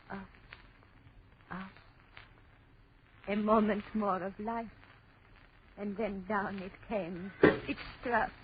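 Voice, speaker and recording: A woman's voice: medium pitch, natural voice. An actress playing a sinister old French woman in vintage radio horror, speaking in ominous, incantatory repetitions with heavy drama. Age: older. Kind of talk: 1940s radio horror acting. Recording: muffled, noisy old radio recording.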